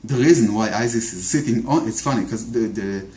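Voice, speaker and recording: A man's voice: on the low side, brisk, darkish tone. A man with an Israeli accent, talking through a political argument off the cuff, often stumbling over repeated words and fillers. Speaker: video commentator. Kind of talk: unscripted political monologue. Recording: echoey room.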